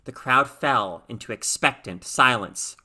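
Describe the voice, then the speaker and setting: Bright, forceful woman reading a story. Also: extremely low pitch, unhurried. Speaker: story reader. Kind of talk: expressive narration of a written short story.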